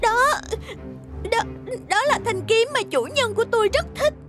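A woman's voice: extremely high pitch, normal speed, music in the background. A woman with a southern Vietnamese accent voicing an anxious, easily flustered young servant woman in a cartoon dub, full of exclamation. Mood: pleading. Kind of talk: animated motion comic dub.

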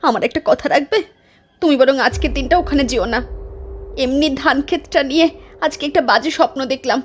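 A woman voicing a frightened village wife who talks in an anxious, shrill way. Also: a little fast, a little hiss or hum, close to an everyday voice. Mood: pleading. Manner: shrill, anxious, cries out and pleads. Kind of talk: dramatized storytelling.

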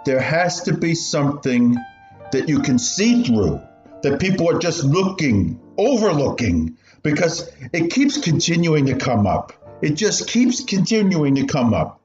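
A man playing an animated, pained old survivor. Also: a little high, a little slow. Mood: reflective, troubled. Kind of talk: student radio play acting. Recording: dull, roomy recording with music.

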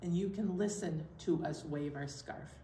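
A woman's voice: sits low, normal speed. A middle-aged woman hosting an interactive children's storytime in a playful way, recorded indoors at some distance. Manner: playful, animated. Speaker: children's library storytime host. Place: indoor room with fabric backdrop. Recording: roomy, distant mic, background noise.

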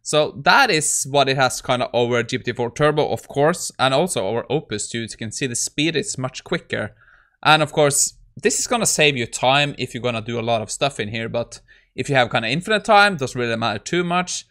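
A man's voice: mid-range voice, lively intonation, a little fast, pushed and bright. A man in his thirties giving a casual coding walkthrough as a tech YouTuber at his desk.

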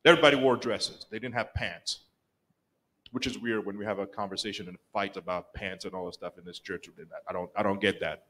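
A middle-aged man with a Rwandan accent preaching a sermon in a church sanctuary, telling the story with lively acted-out voices. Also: on the low side, fairly quick, a little hiss or hum, rough recording. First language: Kinyarwanda. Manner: animated storytelling, acts out quoted lines. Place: church sanctuary, mid-sized room. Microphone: pulpit microphone, filmed by a far camera.

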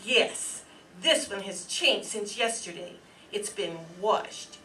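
A woman playing a composed investigator in old-time radio mystery style, deliberate and declarative. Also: mid-range voice, bright, forceful tone. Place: live stage reading in a meeting room. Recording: distant mic, noisy hall.

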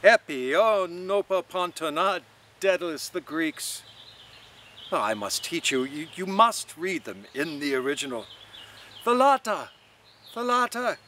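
Guy reading as a teasing theatrical young dude, high and lilting, faint background noise. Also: sing-song, measured pace, pushed and bright, exaggerated voice. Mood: mocking. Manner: declaiming, teasing, sing-song. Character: mocking, theatrical young man, playful and grand.

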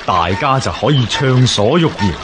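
Man acting earnest rebel officer, lively intonation. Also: medium pitch, normal speed, heavy background noise, close to an everyday voice. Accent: Hong Kong Cantonese. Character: earnest male rebel officer of ancient China. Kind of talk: historical radio drama acting.

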